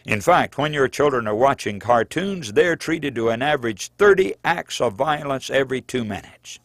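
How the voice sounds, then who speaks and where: A man narrates like a preacher reading his own book. His delivery is earnest and rhetorical, with lively rises and falls in a mid-pitched voice. The sound is dry and close, with very little room around it. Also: no echo. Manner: earnest, rhetorical, deliberate phrasing. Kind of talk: sermon-like scripted audiobook narration.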